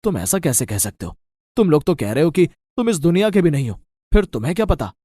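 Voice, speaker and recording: A man playing a wary, blunt village farmer who questions things, in an animated moral story. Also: fairly high pitch, fairly quick, slightly rough, no echo, clean studio sound. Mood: sceptical.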